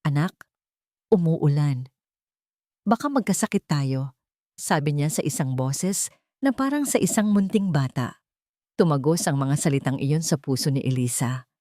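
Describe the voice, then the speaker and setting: A woman's voice: low voice, lively intonation, moderate pace, dark tone, no room sound, studio quality. A woman narrating a scripted, dramatic audio story.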